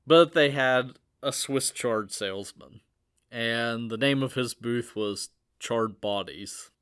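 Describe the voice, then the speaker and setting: A man hosting a casual gardening podcast in a chatty, easygoing way, at an everyday middle pitch. He drifts into tangents and chuckles at his own asides. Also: slow pace, fairly bright tone, smooth. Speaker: host.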